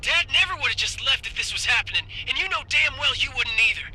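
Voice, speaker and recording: An actor playing a hotheaded, loyal younger brother in a video game, impassioned, heard over a radio. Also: male voice, very high-pitched, lively intonation, quick pace, sharp tone, slight background noise, heavily acted, low-quality recording. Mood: indignant. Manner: impassioned, insistent. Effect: radio transmission. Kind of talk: video game cutscene acting.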